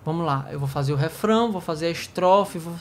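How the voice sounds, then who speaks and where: A man's voice: on the high side, brisk. A man with a nasal voice and a Brazilian Portuguese accent, a worship songwriter talking casually and reflectively about how he writes songs. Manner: reflective, storytelling with quoted dialogue. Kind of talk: casual chat.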